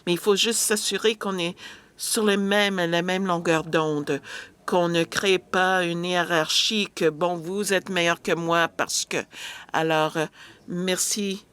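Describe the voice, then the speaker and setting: A woman's voice: on the low side, fairly even intonation, slow pace, a bit bright, clean tone. A woman interpreting a panel discussion live, hesitant, with frequent filler sounds and restarts.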